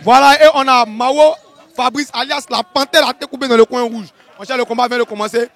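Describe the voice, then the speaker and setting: A man with an Ivorian accent giving live, casual fight commentary in a colloquial, animated way, often repeating his phrases. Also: extremely high pitch, fairly quick.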